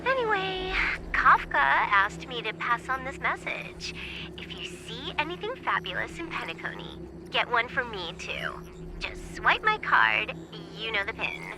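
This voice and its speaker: A woman's voice: high-pitched, very animated, a little slow, vocal fry, full character voice, low-quality recording. An actress playing a young, nonchalant female hacker who teases wryly in a video game, with music behind her. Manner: teasing, nonchalant. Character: playful teasing young female hacker, casual and wry. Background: background music.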